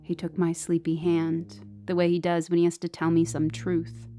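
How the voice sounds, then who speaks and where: A woman plays a brooding, insecure narrator of an audio ghost story. Her voice is lowish and soft-edged, dark in tone, and she confides bitterly and intimately over musical underscore. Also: completely flat delivery, normal speed, close to an everyday voice. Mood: brooding, wounded. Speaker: narrator and lead character of a horror audio drama. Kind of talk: dramatic first-person ghost story monologue. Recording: music behind the voice.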